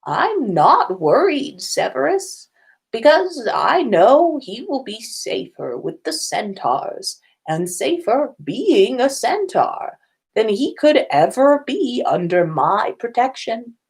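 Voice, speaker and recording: Lady reading the part of an old wizard headmaster, slow and sure of himself, pitch swinging around, storybook style. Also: sing-song, slightly rough, heavily acted. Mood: serene, defiant. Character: old male wizard headmaster, serenely self-assured.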